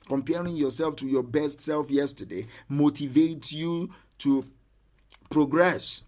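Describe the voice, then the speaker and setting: A man's voice: on the high side, unhurried, a little mellow. Earnest man giving motivational talk. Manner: repeats key lines for emphasis, earnest and direct. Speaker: mindset coach.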